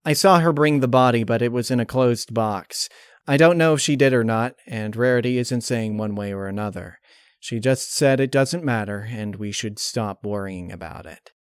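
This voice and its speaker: A man's voice: medium pitch, moderate pace, clear, resonant tone, no echo, studio quality, close to an everyday voice. A man voicing a blunt young female pegasus pony in a story, talking in a casual, slightly nervous way. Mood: uneasy, brisk.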